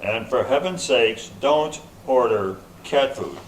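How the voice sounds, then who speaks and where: A man's voice: medium pitch, expressive, slow, far from the mic. Man playing older comic foil; dry, stubborn, matter-of-fact, amateur stage reading in a reverberant room. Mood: wry. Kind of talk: amateur readers theater comedy. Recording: echoey room, faint background noise.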